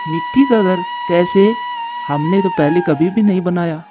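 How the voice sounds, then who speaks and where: Man voicing an earnest high-pitched cartoon bird. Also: unhurried, muffled recording, music in the background. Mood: concerned. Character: male cartoon bird, earnest protective brother and husband. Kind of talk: Hindi moral-story cartoon dubbing.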